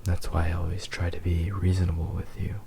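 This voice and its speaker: A man playing a possessive, devoted young fox spirit husband in a whispered romantic ASMR roleplay, soft, tender and hesitant. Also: low-pitched, monotone, brisk, vocal fry, slight background noise. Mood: tender, adoring.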